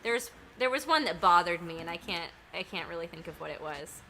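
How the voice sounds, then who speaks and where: A woman's voice: on the low side, expressive, a little fast, pushed and bright, slight background noise. A woman from Massachusetts, a singer-songwriter, chatting on a livestream video call in a bubbly, giggly way. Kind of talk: casual chat on a livestream.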